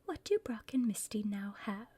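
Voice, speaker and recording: A woman's voice: mid-range voice, expressive, moderate pace, mellow. A woman playing a bossy, scheming female villain in a whispered ASMR roleplay, impatient and conspiratorial with her partner. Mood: explaining, conspiratorial. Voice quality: breathy whisper.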